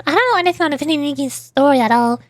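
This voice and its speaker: A woman's voice: high-pitched, lively intonation, racing, no echo, clean studio sound. A woman streamer chatting casually and ramblingly about games, often repeating words as she goes. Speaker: streamer and VTuber.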